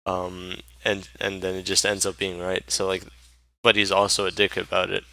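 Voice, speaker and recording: Low gravelly young man, dry sound. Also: monotone, normal speed, bright, forceful tone, low-quality recording. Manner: rambling, lots of "like" and "bro". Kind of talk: casual chat.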